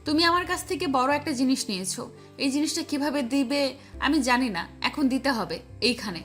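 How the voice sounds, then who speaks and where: A woman's voice: high voice, normal speed, fairly bright tone. A woman playing a feisty, stubborn, scorned young girlfriend in an audio love story, scolding and insistent. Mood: demanding. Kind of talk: acted dialogue in an amateur audio love story. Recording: voice over background music.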